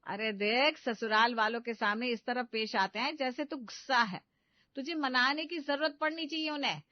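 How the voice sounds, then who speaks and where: A woman playing the groom's middle-aged mother in an animated comedy story, exasperated, nagging and full of scheming instructions. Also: fairly high pitch, normal speed, no room sound, lightly acted. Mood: insistent. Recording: dull, lo-fi studio voice-over.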